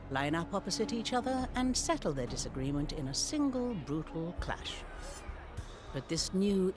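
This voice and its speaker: A man playing an erudite storyteller in a video game, narrating ancient Greek wars with wry, theatrical deliberation. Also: fairly high pitch. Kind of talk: dramatic scripted narration.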